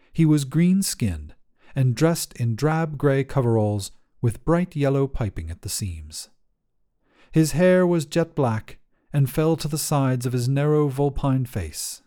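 Male narrator for short fiction, soft mellow tone, slightly low voice, lively intonation. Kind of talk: scripted short story narration.

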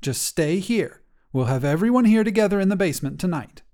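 A man's voice: high-pitched, lively intonation, a little fast, mellow, voice pushed up. A man voicing a protective elderly grandmother who speaks firmly and reassuringly. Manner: firm, reassuring. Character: warm protective old grandmother.

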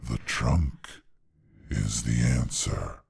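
A man voicing a weary old stone gargoyle, a gentle, wistful father, in a husky, gravelly murmur for a storybook reading. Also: exaggerated voice. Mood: resolute. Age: older. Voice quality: husky, gravelly low growl. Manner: slow, halting, murmured.

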